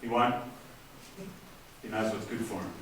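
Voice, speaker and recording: A man's voice: fairly low pitch, flat delivery, moderate pace, lightly acted. A man acting a henpecked, spiteful married older brother in a stage drama, with hesitant, stammering speech. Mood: meek, nervous. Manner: hesitant, stammering, word repetitions. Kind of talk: amateur stage play acting. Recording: echoey room from far off, faint noise.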